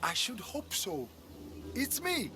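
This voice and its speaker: An actor playing a proud, devoted young Greek athlete in a video game, speaking earnestly. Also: male voice, extremely high pitch, sing-song, slow, exaggerated voice. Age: young adult. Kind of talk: video game dialogue acting.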